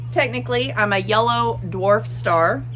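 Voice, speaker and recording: A woman voicing a boastful, playful Sun from a picture book, theatrical and bragging with drawn-out emphasis. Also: a little high, fairly bright tone, a little hiss or hum. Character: boastful, playful Sun, a cheerful showboating star. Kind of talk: expressive picture-book read-aloud.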